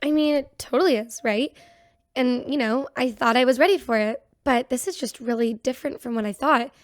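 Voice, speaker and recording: An actress playing a self-doubting ship's doctor in an audio drama, confiding in a conversational, flustered way. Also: female voice, sits high, a little fast, dry recording, clean studio sound, lightly acted. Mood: troubled, self-doubting. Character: self-doubting, rattled young-sounding female ship's doctor. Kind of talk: audio drama acting as an interviewee.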